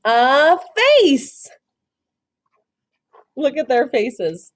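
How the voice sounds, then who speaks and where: Woman doing a kids read aloud, highish voice, super expressive and slow, chatty little asides. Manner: expressive, pausing to point things out and question listeners.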